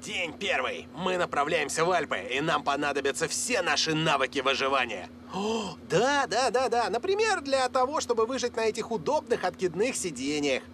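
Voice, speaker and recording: A man voicing an earnest young footballer in a dubbed cartoon, animated and slangy. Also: sits high, normal speed, a little hiss or hum, exaggerated voice.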